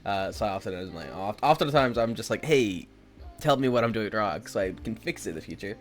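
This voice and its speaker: A man's voice: fairly low pitch, lively intonation, brisk. A young man with a stuffy nose chatting casually with viewers as he draws, rambling with lots of fillers. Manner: rambling, filler-heavy, talks to chat. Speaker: art streamer. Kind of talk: casual chat with viewers while drawing. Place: indoors at home.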